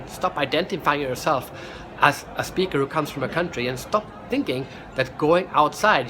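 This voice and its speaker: A man's voice: fairly high pitch, noisy background. A middle-aged man from Sweden, a professional speaker, talking energetically in a casual vlog and often restarting and repeating words. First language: Swedish.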